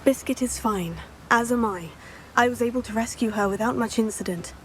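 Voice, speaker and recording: An actress playing a composed teenage witch student in a video game, speaking in a polite, measured way. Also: fairly high pitch, a little hiss or hum, close to an everyday voice, poor audio. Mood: reassuring. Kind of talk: video game character acting.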